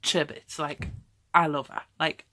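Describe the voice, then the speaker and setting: A woman's voice: sits low, flat delivery, measured pace, bright, forceful tone. A woman, talking casually to camera in a rambling, chatty way, often tagging on "okay?" and laughing. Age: 20s. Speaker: vlogger.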